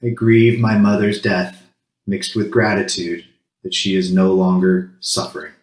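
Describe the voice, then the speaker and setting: A man with a low-pitched voice leads a solemn spoken ritual in a roomy, echoing space. His delivery is very flat and even, set out in short, measured phrases with pauses between them, as a worship leader would speak to a congregation.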